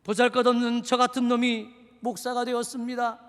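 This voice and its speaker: A man preaching in a strikingly high, bright, forceful voice. He leaps from fervent shouts to quiet, confiding asides. The sound rings with the long reverb of a church sanctuary. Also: monotone, fairly quick, clear, resonant tone. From Seoul. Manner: dramatic preaching, swinging between shouted exhortation and hushed asides. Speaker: pastor. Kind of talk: sermon.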